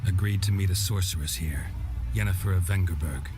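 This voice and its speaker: An actor playing a stoic, world-weary monster hunter in a fantasy game, speaking tersely and deadpan. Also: male voice, sits low, brisk, over music, lightly acted. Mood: guarded, matter-of-fact. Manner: terse, deadpan, clipped sentences. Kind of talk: video game acting.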